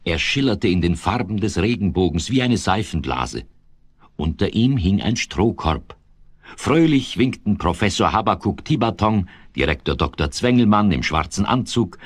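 A man's voice: low voice, no echo. A man narrating a children's audio play as a scripted storyteller.

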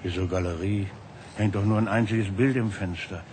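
Man acting cynical hungry tramp in a radio play, lowish voice, grumbly and mocking, bit of noise behind. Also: fairly even intonation, normal speed, lightly acted. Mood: mocking, dismissive. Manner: complaining, mocking.

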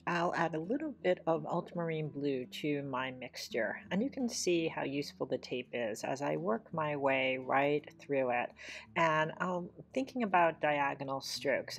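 A woman teaching watercolor painting, explaining each step in a measured, plain voice-over. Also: sits low, animated. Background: soft guitar music.

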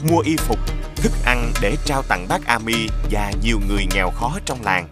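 A man giving scripted narration for a children's animated fairy tale. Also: mid-range voice, moderate pace, lightly acted. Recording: music behind the voice.

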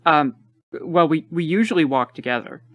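An actor playing a hesitant, worried and loyal teenage schoolboy in an audio drama. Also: male voice, fairly high pitch, normal speed, close to an everyday voice.

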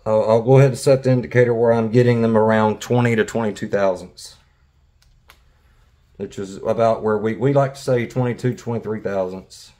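A man's voice: fairly low pitch, steady tone, a little fast, dark tone. A middle-aged man giving a hands-on demonstration in a wood-walled workshop, explaining each step plainly with some restarts. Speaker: sawmill blade technician.

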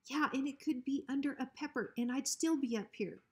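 A woman plays a timid little storybook dog, a sidekick who wriggles out of everything. His voice is high, small and often breathy. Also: moderate pace. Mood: evasive. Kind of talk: children's storybook reading.

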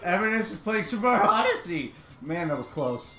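A young man streaming a shooter game from home at a desk mic, commenting excitedly and breaking into high-pitched yelps when startled. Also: a little fast. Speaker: gaming streamer. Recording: roomy with game music behind.

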